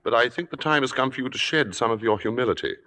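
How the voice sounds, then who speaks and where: An actor playing a suave, worldly theater critic who speaks in urbane, deliberate, formal phrases in an old-time radio drama. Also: male voice, mid-range voice, pushed and bright, lightly acted. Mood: probing, silky. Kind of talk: 1950s radio drama acting and narration. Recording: old radio broadcast, reverberant, music behind.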